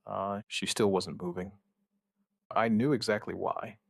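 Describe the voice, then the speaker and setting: A man acting as a steady, protective survivor looks back on the first days of an outbreak. His voice is low and gravelly, recorded close and dry. He narrates in measured, plain sentences with quiet understatement, in the manner of an apocalyptic audio drama. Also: dry recording. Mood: sombre, reflective. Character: male outbreak survivor recounting events, steady and protective partner.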